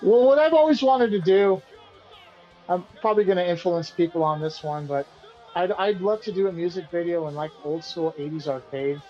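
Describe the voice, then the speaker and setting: A man, the band's singer and guitarist, answering interview questions in a relaxed, rambling way and often repeating himself. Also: high-pitched, moderate pace, highs cut off, faint music behind. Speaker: band vocalist and guitarist being interviewed.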